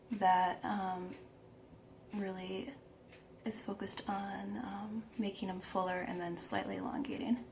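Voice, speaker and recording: Woman beauty vlogger with a croaky, gravelly voice, walking through her makeup with self-mocking asides. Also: mid-range voice, slight background noise. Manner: chatty, self-deprecating, laughs at herself. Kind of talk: casual makeup tutorial.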